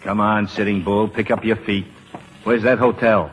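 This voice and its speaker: A man playing a tough, suspicious American loner with a clipped, gruff way of talking in a 1940s radio drama. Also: fairly low pitch, little pitch movement, normal speed, a little hiss or hum, close to an everyday voice. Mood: brusque. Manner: clipped, gruff, brusque orders. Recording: old radio broadcast, long reverb.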